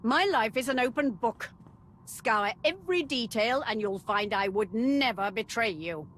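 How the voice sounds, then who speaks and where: An actress playing a proud, warlike empress in a video game, speaking formally and grandly. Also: female voice, on the high side, expressive, measured pace, fairly bright tone, slight background noise. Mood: indignant. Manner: haughty, formal, declamatory. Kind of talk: cinematic video game voice acting.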